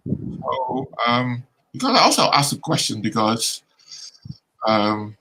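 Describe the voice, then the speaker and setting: Bright-voiced man asking questions. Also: mid-range voice, sing-song, slow pace, slightly rough, poor audio. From Netherlands. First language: Dutch. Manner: inquisitive, searching for words. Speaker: guest co-host. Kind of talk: casual interview questions.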